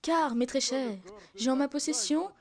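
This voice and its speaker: A woman's voice: high-pitched, normal speed, noisy background. A woman playing a gleefully morbid, bloodthirsty young woman, theatrical in an amateur comedy audio skit.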